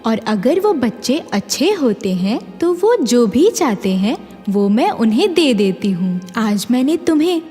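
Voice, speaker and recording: A woman acts a kindly magical fairy from a children's cartoon, caring yet gently stern. She speaks slowly and deliberately in a high voice, wrapped in long, echoing reverb with music underneath. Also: very animated, breathy edge, exaggerated voice. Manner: deliberate, caring, solemn when warning. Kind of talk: children's animated moral story dialogue.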